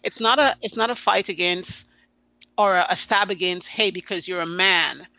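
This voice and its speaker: Woman, from Guyana, podcast guest, earnest, halting, dry sound. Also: on the low side, sharp tone, no room sound, highs cut off. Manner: earnest, halting with many restarts. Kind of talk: conversational interview.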